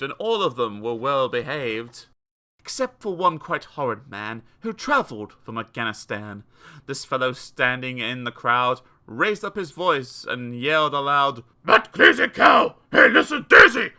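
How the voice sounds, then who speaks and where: A man narrating children's verse as an audiobook reader, theatrical, measured and grand. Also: mid-range voice, sharp tone.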